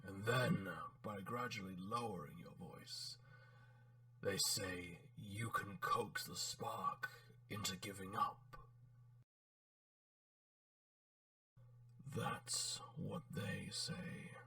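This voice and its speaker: Male robot tyrant, acted by a man in a comic dub: eloquent, theatrical, sharp-edged delivery, measured pace, sinister politeness. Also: fairly low pitch, fairly even intonation, far from the mic, exaggerated voice. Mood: softly sinister. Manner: theatrical, pompous, menacingly polite, deliberate. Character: menacing, eloquent male robot warlord and torturer. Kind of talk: dramatic reading of a comic book script.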